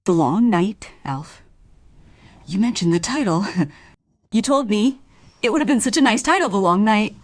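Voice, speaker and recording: An actress in a radio play as a distressed married woman confronting a man, husky and breathy, with halting, dramatic pauses. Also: mid-range voice, animated, no echo, natural voice. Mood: accusing.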